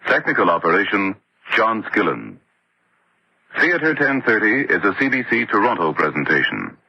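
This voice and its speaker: Old radio announcer guy, husky low voice, formal, really lively pitch swings, muffled. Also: normal speed, dry recording. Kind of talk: formal scripted radio credits. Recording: dull, lo-fi old radio recording.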